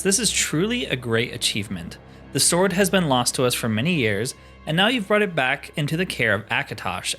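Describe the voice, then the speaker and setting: A man's voice: medium pitch, a bit bright. A man voicing a formal, earnest, devout cult priest who hands out quests in a fantasy game. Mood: solemn praise.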